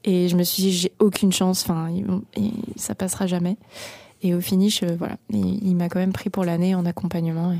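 A woman's voice: on the low side, flat delivery, a little fast, creaky, dark tone, no room sound. A young woman from the Paris region, a singer-songwriter answering interview questions, talking hesitantly with many fillers and restarts. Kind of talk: radio interview.

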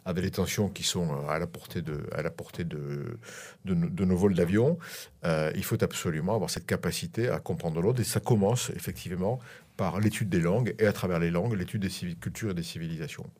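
Interview guest: middle-aged man from France, very deep voice, halting speech full of fillers. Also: darkish tone. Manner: hesitant, many fillers and restarts. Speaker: guest, school director. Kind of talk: interview on radio.

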